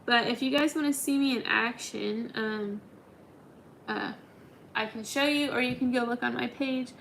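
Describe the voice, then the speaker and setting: A woman's voice: on the high side, normal speed, creaky, a bit bright, slight background noise, poor audio. A woman in her twenties hosting a casual livestream, chatting and answering viewer comments with lots of ums. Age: 20s. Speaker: livestream host.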